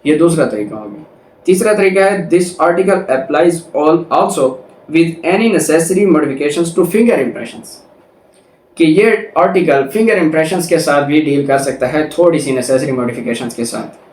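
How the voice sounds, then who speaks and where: A man's voice: on the high side, lively intonation, a little slow, a little mellow. A man with a Pakistani accent giving a law lecture, reading out clauses and explaining them didactically with questions he answers himself. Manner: didactic, poses questions and answers them, code-switches into English. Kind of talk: lecture explaining legal articles, reading clauses then paraphrasing. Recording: echoey room.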